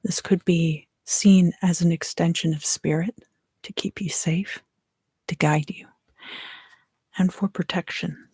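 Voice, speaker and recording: Husky, whispering woman reading tarot slowly. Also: on the low side, steady tone, no echo. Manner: whispered and soft-spoken, unhurried. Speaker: tarot reader and ASMR host.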